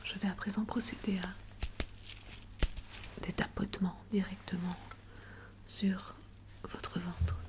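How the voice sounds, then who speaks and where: A woman playing a calm, attentive doctor in an ASMR medical roleplay, whispering gently and methodically. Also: mid-range voice, slow, long pauses, lightly acted. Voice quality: breathy whisper. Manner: gentle, methodical, polite and formal. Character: calm, attentive female doctor examining a patient.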